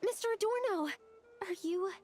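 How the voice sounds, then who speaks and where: A woman voicing an earnest, concerned young female video game character in a gentle, questioning manner. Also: extremely high pitch, animated, a little slow, soft background music.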